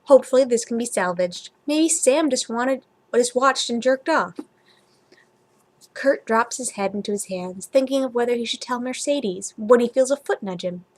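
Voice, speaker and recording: A woman's voice: fairly high pitch, lively intonation, normal speed, a little mellow. A woman vlogger chatting casually and reading a story aloud informally, sometimes stumbling over her words.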